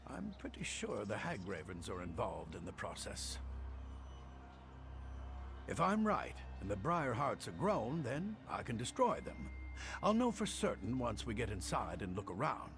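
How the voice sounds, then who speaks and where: Anxious male alchemist, earnest, scholarly, cowardly, lively pitch swings, gravelly creak, video game dialogue. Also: measured pace, faint music behind, full character voice. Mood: scholarly, urgent.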